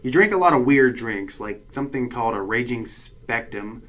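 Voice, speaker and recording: An actor playing the exasperated, sarcastic voice of reason in a hero's head in a comedic radio drama. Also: male voice, medium pitch, expressive, moderate pace, fairly bright tone, natural voice. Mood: scolding. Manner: sarcastic, wry, worrying. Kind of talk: comedic sci-fi radio drama narration and dialogue. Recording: clear background noise.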